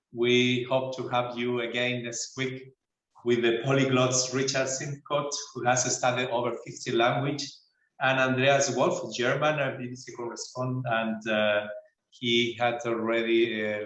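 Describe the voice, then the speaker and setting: Male director, flat intonation, formal closing remarks in a roomy space. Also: medium pitch, a little slow, lo-fi sound. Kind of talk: formal thanks and announcements. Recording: echoey room sound.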